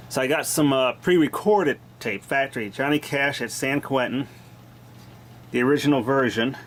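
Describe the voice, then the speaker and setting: Clear background noise sits under this amateur recording of a man talking. His voice is mid-pitched, and he narrates hands-on repair work in a casual, unscripted way. The delivery is plain-spoken and informal, like a hobbyist explaining things as he works. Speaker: hobbyist repairer of vintage tape machines.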